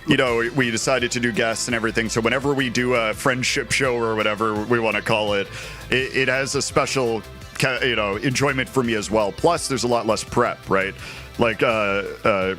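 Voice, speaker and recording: A man's voice: mid-range voice, moderate pace, sharp tone. A man co-hosting a livestream in casual, joking chat with a teasing, self-deprecating manner. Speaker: livestream co-host. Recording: music playing underneath. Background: music.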